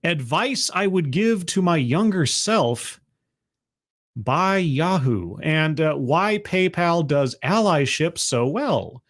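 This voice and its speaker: A man's voice: a little high, animated, slow, fairly bright tone. A man casually commenting on a played video, sardonic and rambling, with many restarts.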